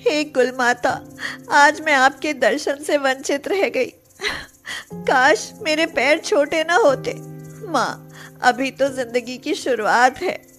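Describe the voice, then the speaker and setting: A forlorn, self-doubting young girl from a cartoon story, voiced by a woman. Her pitch is very high and she speaks without hurry. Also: slow pace, fairly bright tone, soft background music. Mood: tearful pleading.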